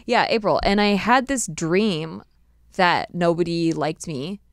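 A woman in her thirties hosting a casual podcast, chatty and animated, recorded on a desk mic in a small studio. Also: lowish voice, measured pace, no room sound. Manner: animated, chatty, slips into playful put-on voices. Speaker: podcast host. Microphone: desk mic on a boom arm.

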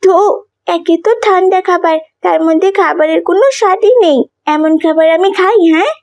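A woman's voice: very high-pitched, moderate pace, darkish tone, no echo. A woman voicing a spoiled, vain, rude schoolgirl sister in a cartoon, demanding and complaining with contempt. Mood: demanding, disgusted. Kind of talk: animated moral cartoon voice acting.

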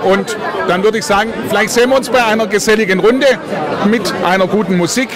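A man's voice: sits high, a little fast. Man, forties, interviewer, friendly, handheld mic, crowd noise. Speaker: podcast interviewer. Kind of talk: casual interview. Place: crowded festival tent at night. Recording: busy crowd chatter behind.

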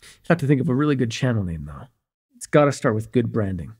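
Man acting a breathy, cocky young male vampire. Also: medium pitch, big pitch swings, fast, a little mellow. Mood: musing, excited. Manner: rambling, playful, slangy, hesitant asides. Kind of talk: immersive ASMR roleplay acting, casual and conversational.

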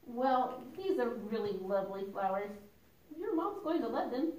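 A woman's voice: a little high, sing-song, normal speed, warm tone. A woman voicing a sensible young girl puppet in a children's church show, earnest, hesitant and a little dramatic. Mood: friendly. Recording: echoey room, distant mic.

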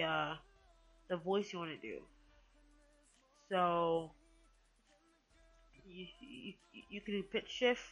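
A woman hosting a casual software tutorial in a hesitant, rambling way, often correcting herself. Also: on the low side. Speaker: tutorial host.

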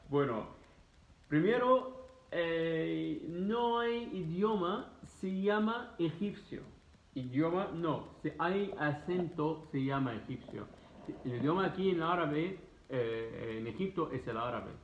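A man in his thirties with an Egyptian accent, a native Arabic speaker, talking casually to camera in emphatic, halting, repetitive phrases. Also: on the high side, lively intonation, a little hiss or hum. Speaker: vlogger answering students' questions. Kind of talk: casual explanatory talk to camera. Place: living room, indoors. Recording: roomy echo, dull muffled sound.

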